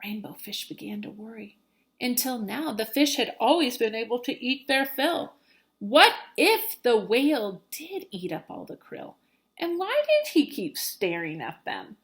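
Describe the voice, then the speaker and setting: A woman's voice: a little high, sing-song, unhurried. A woman teacher reading a children's storybook aloud, expressively and with dramatic emphasis.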